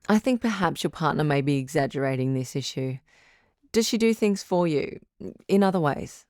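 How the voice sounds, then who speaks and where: A woman playing a calm, firm relationship advice doctor hosting a radio call-in drama, measured and probing. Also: low-pitched, dry recording, studio quality.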